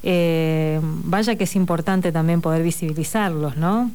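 A woman's voice: deep voice, a little slow, a little mellow. A woman interviewing a guest, asking questions with stumbling restarts. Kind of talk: interview conversation.

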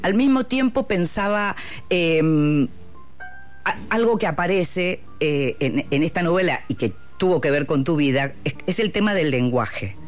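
An interviewer leads a conversation with a writer. The delivery is measured and deliberate, and it lingers on key phrases. The voice has an ordinary pitch. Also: lively intonation, soft background music. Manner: measured, thoughtful questions with drawn-out phrasing.

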